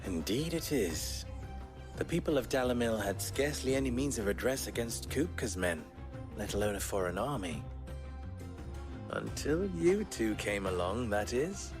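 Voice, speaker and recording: An actor playing a suave, silver-tongued fixer in a video game, eloquent and wry. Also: male voice, on the low side, big pitch swings, moderate pace, music in the background. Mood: wry, courteous. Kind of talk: theatrical video game acting.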